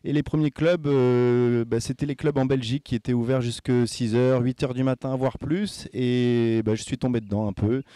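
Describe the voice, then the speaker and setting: Thirties male, mellow and smooth, loose rambling delivery, dry room. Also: medium pitch, steady tone, normal speed, hardly any pauses, no echo. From born in Casablanca, Franco-Chilean. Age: 30s. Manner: rambling, filler-heavy, restarts phrases. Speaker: event company co-founder. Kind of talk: relaxed promo interview.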